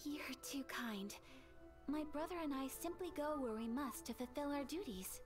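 A young woman playing a polite, bashful noblewoman in an anime-style game, formal, gentle and hesitant. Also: high-pitched, lively intonation, normal speed, distant mic. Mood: modest, flustered. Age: young adult. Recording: game music behind the voice.

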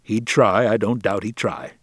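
A man doing a gruff old fishing captain. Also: on the low side, steady tone, measured pace, dry recording, clean studio sound. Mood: stern. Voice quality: drawling, plain-spoken. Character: gruff older New England fishing captain, stern and slow.

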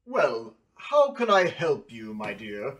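Slow, swooping, flustered older gentleman. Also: medium pitch, huge pitch swings, muffled recording, full character voice. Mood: kindly, pondering. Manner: fussy, courtly, hesitant, easily startled. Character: kindly, flustered older physician, portly and gentle. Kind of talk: animated drama dialogue. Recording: music under the dialogue.

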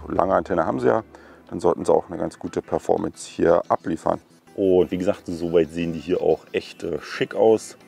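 A man in his thirties with a deep, gravelly voice, hosting a tech review. He chats casually and speaks straight to the viewers. Faint music plays underneath. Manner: relaxed, chatty, addresses viewers directly.

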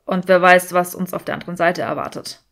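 A woman voicing an irritable, pragmatic young male wizard in an audiobook, terse and forceful. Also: on the low side, fairly even intonation, brisk, gravelly. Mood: calculating.

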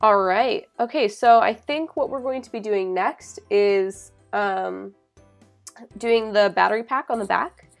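A young adult woman narrating a software tutorial in a casual, informal way, often saying "like". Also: mid-range voice, measured pace, gravelly. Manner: informal, hedging with frequent "like". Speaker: tutorial presenter. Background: background music.

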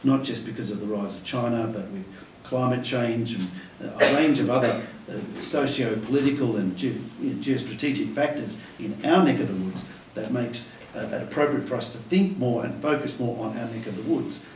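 A man's voice: medium pitch, normal speed, few pauses, a little mellow, a little hiss or hum. A middle-aged man moderating a panel, speaking thoughtfully with frequent restarts and fillers. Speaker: moderator, academic host. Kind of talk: panel conversation. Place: lecture room with a seated audience. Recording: roomy lecture hall, mic at a distance.

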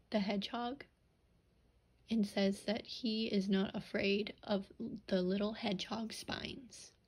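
Young woman, picture book read-aloud, slow, mellow tone, explains vocab. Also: mid-range voice, slightly rough. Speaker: student teacher.

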